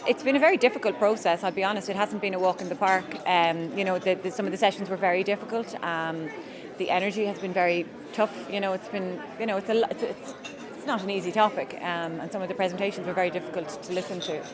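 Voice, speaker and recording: A woman's voice: medium pitch, steady tone, fast, a crowd in the background. A woman speaking candidly and hesitantly, with fillers.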